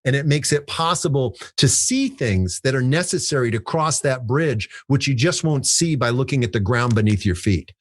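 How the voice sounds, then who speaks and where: A man's voice: mid-range voice, expressive, moderate pace, few pauses, no echo. Man with a dry, close-up voice. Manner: thoughtful, explains with made-up examples. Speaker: podcast co-host. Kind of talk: casual podcast chat.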